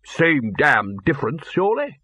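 A man's voice: a little high, huge pitch swings, normal speed, sharp tone, no echo, pitched above the performer's own voice, full character voice. Man playing a blustering old wizard chief. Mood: puzzled. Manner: gruff, blunt, barking short phrases. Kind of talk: audiobook character voice.

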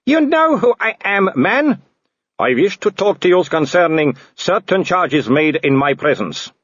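Man voicing a shrill haughty werewolf matriarch. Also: big pitch swings, slightly rough, no echo, dull audio, full character voice. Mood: furious. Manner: shrill, drawn-out, screaming in rage. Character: haughty older aristocratic werewolf woman, imperious.

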